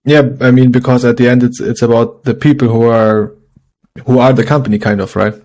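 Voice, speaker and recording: A man hosting a podcast interview in a casual way, haltingly searching for his words as he talks. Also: mid-range voice, steady tone, a little mellow.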